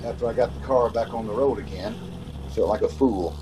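Radio thriller lead, male actor: uneasy, haunted motorist, lowish mellow voice, dramatic storytelling. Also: a little hiss or hum, natural voice. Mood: haunted, dreading. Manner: measured narrating, nervous stammering in dialogue. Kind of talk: old-time radio drama narration and acting. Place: home, recorded over a video call.

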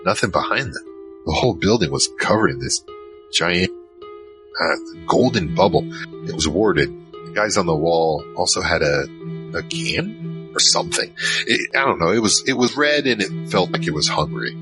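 A man's voice: sits low, animated, normal speed, over music. A man playing a curious, hesitant adventurer who recounts his story in a conversational first person for an audio drama. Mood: reflective, conversational. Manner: conversational, hesitant, searching for words. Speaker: narrator and lead character. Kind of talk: in-character first-person scripted narration.

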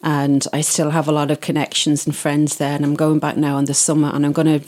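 Low-voiced woman with Irish accent. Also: fairly quick. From Isle of Man. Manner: steady, detailed explaining. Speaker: guest, wedding PA. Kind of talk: casual interview.

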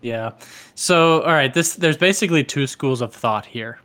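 Podcast co-host, man from the American South, talkative and joking, bright voice. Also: mid-range voice, normal speed, no room sound. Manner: joking, chatty, explains at length. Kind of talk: casual podcast chat.

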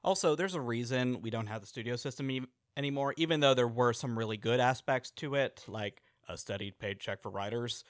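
A man's voice: mid-range voice, a little fast, hardly any pauses, dry recording, studio quality. A man hosting a casual podcast, joking and playful as he talks. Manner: playful, jokey. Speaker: podcast host.

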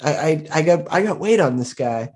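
A man's voice: a little high, lively intonation, moderate pace, a little mellow, close to an everyday voice. A man voicing a big, good-natured young knight errant in a tabletop game, with a breezy, casual way of talking. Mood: boastful.

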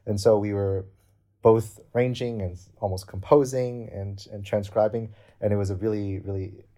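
A man's voice: lowish voice, steady tone, moderate pace, warm tone. A young man, a cellist, explaining his project in an interview, earnest and thinking aloud as he talks. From Canada. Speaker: guest cellist. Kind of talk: interview answers.

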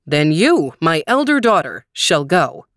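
A woman's voice: deep voice, very animated, a little slow, a bit bright, no room sound, clean studio sound, heavily acted. A woman voicing a nobleman father in a folktale, formal and admonishing his daughter. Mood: decisive.

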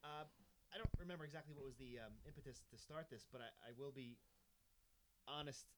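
Man around forty, podcast co-host, quick speech, rambling, frequent restarts. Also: mid-range voice, background noise. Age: 40s. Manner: rambling, restarts often, laughs between thoughts. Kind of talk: casual chat.

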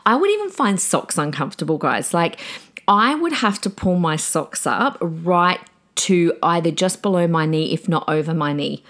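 A middle-aged woman hosting a podcast in a chatty, excitable way, often calling her listeners guys, her voice slightly croaky. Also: on the low side, normal speed. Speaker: podcast host; preacher. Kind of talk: casual podcast monologue.

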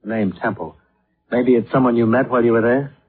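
Cool private investigator, wry, measured, probing, old-time radio drama, dull recording, strong music behind. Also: male voice, mid-range voice, breathy edge. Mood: probing, patient. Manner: measured, probing, matter-of-fact. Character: cool, patient male insurance investigator, wry and world-weary. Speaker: lead investigator and narrator. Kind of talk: 1950s radio detective acting.

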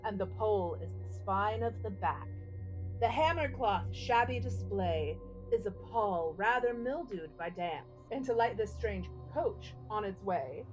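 A woman's voice: mid-range voice, poor audio. A woman co-hosting a podcast with a playful, theatrical way of talking, whether chatting or reciting. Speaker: podcast co-host. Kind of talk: casual chat, dramatic poem reading.

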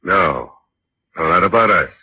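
A man's voice: low-pitched, animated, unhurried, breathy edge, heavily acted. An actor playing a bitter ex-convict chauffeur, deferential to his boss, in 1940s radio drama style. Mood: bitter, jealous. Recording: muffled, noisy vintage radio broadcast.

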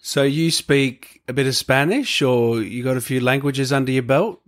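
An easygoing man hosting a casual interview, asking loose follow-up questions and passing along viewer questions. His voice sits at an ordinary, middle pitch for a man. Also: fairly even intonation, moderate pace. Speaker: interview host.

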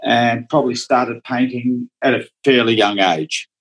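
A man's voice: medium pitch, fairly even intonation, unhurried, fairly bright tone. A man, a Dutch speaker, chatting casually as a guest painter in an interview, rambling and hesitant. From Australia. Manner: rambling, hesitant, often starts with "look".

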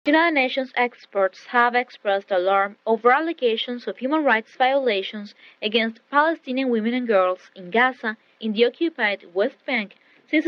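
A woman's voice: fairly high pitch, no echo. A woman reading scripted international news as a radio newsreader. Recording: dull, band-limited shortwave radio audio.

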